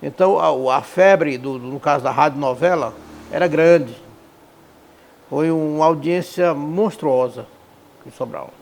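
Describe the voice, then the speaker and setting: Male interviewee: gravelly, slightly high voice, emphatic unscripted recollection. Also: unhurried. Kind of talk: spontaneous documentary testimony.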